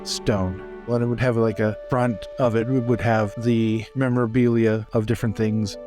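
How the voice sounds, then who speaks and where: A man's voice: lowish voice, flat delivery, moderate pace, mellow. A man playing a tabletop game, describing scenes in improvised collaborative storytelling. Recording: faint music behind.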